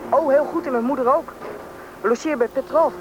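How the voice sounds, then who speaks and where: A very high-voiced young boy, played by an actor, eager and polite but worried. He talks quickly in an old radio drama, with clear background noise. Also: lo-fi sound, natural voice. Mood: relieved. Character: worried young boy whose father is trapped underground. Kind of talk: radio play acting. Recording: noisy old radio play recording, vehicle rumble.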